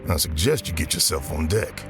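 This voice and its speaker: An actor playing a gruff, commanding pirate sailor with a terse, measured manner in an audio drama. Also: male voice, rumbling low, over music. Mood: stern, advising.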